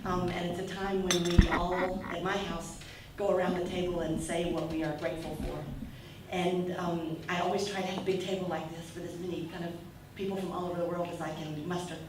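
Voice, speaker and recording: A middle-aged American woman giving a short reflective speech to a gathering, with soft fillers. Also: fairly low pitch, level tone, a little fast, background noise. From United States. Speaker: guest speaker. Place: function room at a breakfast event. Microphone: handheld mic, far camera. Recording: echoey room, far from the camera.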